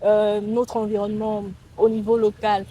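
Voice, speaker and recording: A woman in her twenties with a Cameroonian accent, hosting a conversational interview in a halting, searching way. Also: mid-range voice, completely flat delivery, warm tone, rough recording. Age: 20s. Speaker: interviewer and host. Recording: some background ambient noise.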